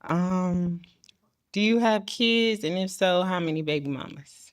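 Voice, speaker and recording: A woman's voice: fairly low pitch, a little slow. A woman in her thirties, a dating show contestant, chatting in a bubbly, giggly, slightly nervous way. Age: 30s. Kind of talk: casual on-camera chat.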